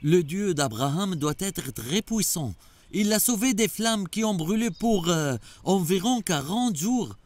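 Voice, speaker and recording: An eager, tale-bearing cartoon townsman, played by a man, deferential in tone. His voice is rather high and moves animatedly up and down. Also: expressive, unhurried, a little mellow, no echo. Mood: earnest. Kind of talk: dubbed religious cartoon acting.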